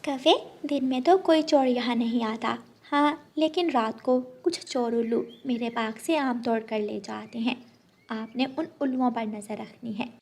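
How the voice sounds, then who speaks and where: A woman's voice: fairly high pitch, a little slow, creaky, darkish tone. A woman voicing a polite, practical female bird who owns an orchard, in a children's cartoon. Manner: polite, matter-of-fact.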